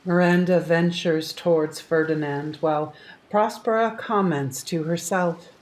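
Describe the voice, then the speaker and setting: A woman's voice: lowish voice, fairly even intonation, a little slow, a little mellow, natural voice. A woman narrating an audio drama, reading out scripted stage directions. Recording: echoey room, remote recording.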